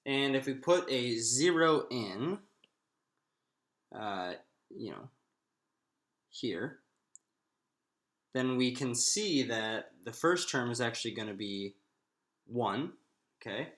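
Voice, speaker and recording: A man giving an informal math lecture, thinking aloud in a halting way with drawn-out pauses. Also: medium pitch, expressive, a bit bright. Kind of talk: informal lecture, working through a derivation aloud. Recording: roomy, echoing room sound.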